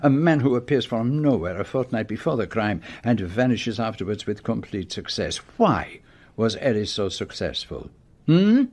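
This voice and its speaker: Deliberate, shrewd male detective. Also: fairly low pitch, very animated, darkish tone. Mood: dramatic, triumphant. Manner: deliberate, theatrical, precise pauses. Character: shrewd, methodical detective explaining his solution. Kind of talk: radio mystery drama acting.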